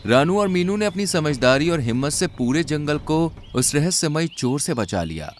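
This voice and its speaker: A man's voice: on the high side, sing-song, moderate pace, slight background noise. A man narrating a scripted children's story as its storyteller.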